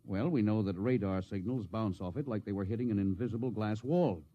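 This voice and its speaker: A man's voice: lowish voice, fairly quick, natural voice. A male actor as a seasoned spaceship skipper from a 1950s radio play. He is commanding and loyal, with a weary streak, on a dull old recording. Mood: earnest, weary. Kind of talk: 1950s radio drama acting.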